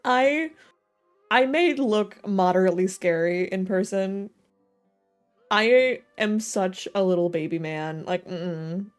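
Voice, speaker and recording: A woman's voice: mid-range voice, animated, slow pace, long pauses. A woman livestreaming a game with casual, slangy, self-deprecating commentary and jokes. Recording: game music playing behind voice.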